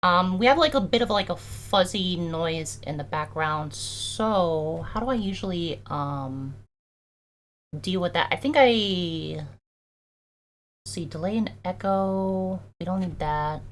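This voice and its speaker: Chatty high-voiced streamer explaining edits. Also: animated, takes it slowly, a bit bright. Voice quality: high-toned voice. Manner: chatty, heavy use of "like" and "um". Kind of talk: casual live-stream chat while editing audio.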